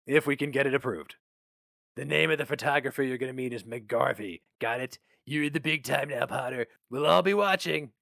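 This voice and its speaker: A man voicing a brash, cynical, fast-talking male publicity boss, barking and emphatic, in a story reading. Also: mid-range voice, creaky, fairly bright tone, dry recording, studio quality. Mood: excited urgent.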